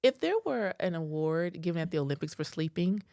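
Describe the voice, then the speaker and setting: Brief: woman co-host with a very low voice, playful and upbeat, dry studio sound. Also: expressive, brisk, dark tone, no room sound. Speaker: podcast co-host. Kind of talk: casual podcast chat.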